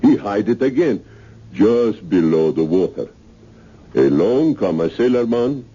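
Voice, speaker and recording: An actor playing a big, genial but sinister island castaway with a Caribbean accent and simple dialect phrasing in a 1970s radio drama. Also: male voice, on the low side, big pitch swings, measured pace, dark tone. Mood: slow storytelling. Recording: muffled old radio broadcast.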